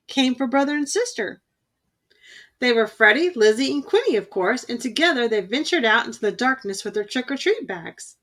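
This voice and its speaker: A woman reading a children's picture book aloud in an expressive storytelling style. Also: a little high. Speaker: storybook reader.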